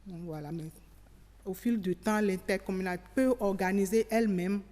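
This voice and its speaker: A woman giving measured, explanatory interview answers as an expert, often rephrasing as she goes. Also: mid-range voice, dark tone. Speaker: expert interviewee on local government. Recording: reverberant hall sound.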